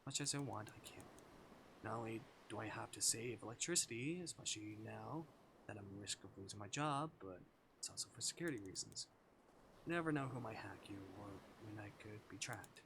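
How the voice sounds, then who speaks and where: A man voicing a weary, lonely, earnest male character from a visual novel, speaking in a soft, confiding way. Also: fairly low pitch, lively intonation, brisk, gravelly, slight background noise. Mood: regretful, gentle. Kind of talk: visual novel dialogue read aloud.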